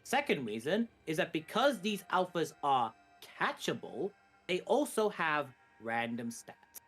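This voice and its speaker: A man's voice: a little high, sing-song, unhurried, fairly bright tone, breathy edge, faint music behind. A man giving casual, jokey live commentary on a speedrun, often repeating and rephrasing himself.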